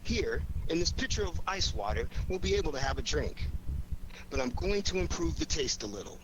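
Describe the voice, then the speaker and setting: Smooth-talking confident old radio detective, theatrical, really animated, noisy vintage recording. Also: male voice, a little high, muffled recording. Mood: deliberate. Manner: theatrical, deliberate, commanding. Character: cool, confident amateur detective setting a trap. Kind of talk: 1940s radio acting.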